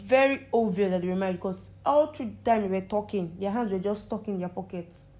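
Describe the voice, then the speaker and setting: A woman's voice: mid-range voice, fairly quick, a little mellow. A young woman, a podcast guest chatting casually and echoing the host. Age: young adult. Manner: chatty, echoes the host, laughs along. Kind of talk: casual chat.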